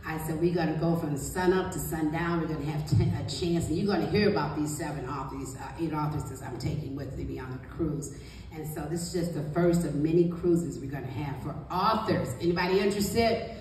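A middle-aged woman with a deep voice gives an emphatic, encouraging motivational talk as a guest author and book coach. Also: brisk, a little mellow. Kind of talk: motivational panel talk to an audience. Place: small indoor meeting room. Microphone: handheld mic, captured by distant camera. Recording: distant, very echoey room, faint noise.

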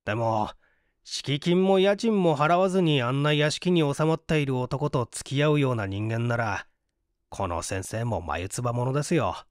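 A man's voice: medium pitch, animated, unhurried, no room sound, clean studio sound, voice pushed down, lightly acted. A man voicing a broke, hungry fellow in a storybook reading, coaxing and wry in half-polite talk. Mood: doubtful, grumbling.

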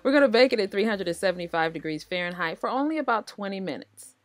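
A woman's voice: medium pitch, animated, fast, dry recording. An American woman hosting a cooking show, narrating a recipe casually and talking directly to viewers in a conversational way. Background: background music.

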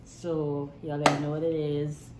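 A woman's voice: low voice, little pitch movement, normal speed. A young woman co-hosting a vlog, speaking hesitantly with many fillers. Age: young adult. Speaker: vlog co-host. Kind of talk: casual chat. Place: small indoor room with dark backdrop. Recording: roomy echo, faint noise.

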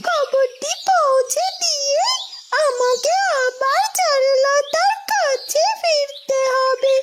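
A woman's voice: extremely high pitch, slow pace. A woman voicing a small, loyal golden bird in a cartoon fairy tale, caring, anxious and fussing. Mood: anxious, determined. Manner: chirpy, fussing, repeats itself. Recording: loud music behind.

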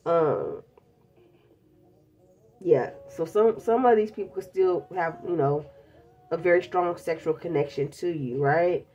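A woman's voice: fairly low pitch, unhurried, pauses often, dark tone, highs cut off. A woman with a stuffed-up cold, chatting bluntly and casually through a tarot reading, calling her viewers babies. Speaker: tarot reader. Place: indoors at a table covered in tarot decks.

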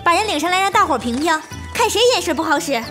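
A woman's voice: extremely high pitch, lively intonation, fast, sharp tone, faint music behind, full character voice. A woman playing a flirtatious, teasing bandit's wife in a Chinese period audio drama, with a coy, playful manner. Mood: teasing, huffy.

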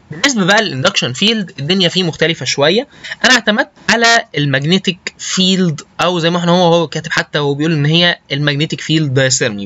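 Casting: Egyptian man as informal lecturer, forceful and quick, stop-start delivery with frequent check-ins. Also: a little high, dry recording, lo-fi sound. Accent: Egyptian Arabic. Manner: halting, often checks understanding with short tag questions. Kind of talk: informal slide lecture with technical English terms.

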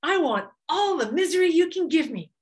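A woman voicing a greedy, eager little male monkey in a folk tale, wheedling and excited. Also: extremely high pitch, moderate pace, pitched above the performer's own voice.

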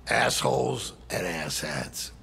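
Gravelly, hot-tempered crime boss. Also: male voice, deep voice, animated, takes it slowly, sharp tone, exaggerated voice. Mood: irritated. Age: middle-aged. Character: hot-tempered, foul-mouthed cowboy-hatted male crime boss. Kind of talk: cinematic game dialogue.

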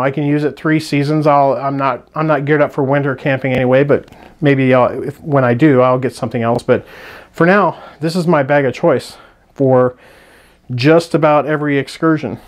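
Guy rambling thru a sleeping bag review on his hiking channel, lots of um and restarts, mid pitch. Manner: rambling with frequent restarts and fillers. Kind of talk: casual gear review.

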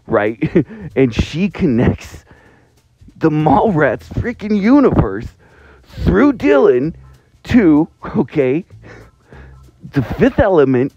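A man leaving a rambling, excitable voicemail about movies, laughing between phrases. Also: mid-range voice, dry recording, lo-fi sound. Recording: phone voicemail with faint music behind.

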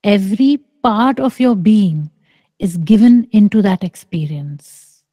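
Slow, soft-spoken woman teacher. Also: medium pitch, expressive, no room sound, clean studio sound. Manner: slow, soft and deliberate, with long pauses. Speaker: spiritual teacher. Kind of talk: slow contemplative spiritual teaching. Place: small room indoors. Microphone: close microphone on a video call.

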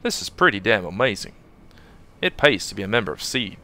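A man voicing a brash, excitable young male fighter in a game fan dub, bursting with enthusiasm. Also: lowish voice, fairly quick, pitched below the performer's own voice, heavily acted. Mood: excited. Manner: enthusiastic, boastful.

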